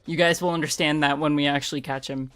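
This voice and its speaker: A woman's voice: deep voice, steady tone, fast, no room sound. Congested young woman streamer, swearing freely. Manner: chatty, laughs often, swears freely. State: congested, sore throat. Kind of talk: casual game livestream chat. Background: faint game music.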